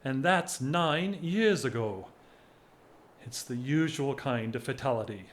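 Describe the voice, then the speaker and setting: An actor playing a whimsical, lovesick English gentleman of forty-one in a period audio drama, wry, measured and self-mocking. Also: mid-range voice, lively intonation. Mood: resigned confession.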